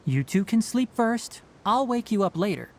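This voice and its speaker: Young forest ranger in a game, kinda wry n teacherly, voice moves up and down a lot. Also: male voice, sits high, sing-song, moderate pace, a little mellow, a little hiss or hum. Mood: relenting. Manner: instructive, matter-of-fact, slightly wry. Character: young male forest ranger, knowledgeable, dry, gently strict. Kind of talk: video game character acting.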